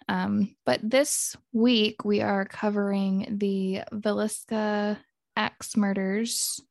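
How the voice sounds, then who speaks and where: Congested woman with a gravelly voice. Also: medium pitch, dry recording, studio quality. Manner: chatty and giggly, rambling. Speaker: podcast co-host. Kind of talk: casual chat.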